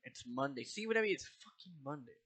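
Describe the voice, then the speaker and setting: A man's voice: on the high side, fast, crowd noise. A man in his twenties hosting a casual podcast chat, swearing often and laughing between lines. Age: 20s. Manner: profane, animated, laughs often. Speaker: podcast host.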